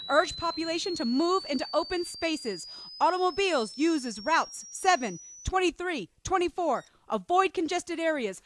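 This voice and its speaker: A man's voice: extremely high pitch, background noise. An actor playing a male radio announcer reading terse emergency warnings in a 1930s radio drama.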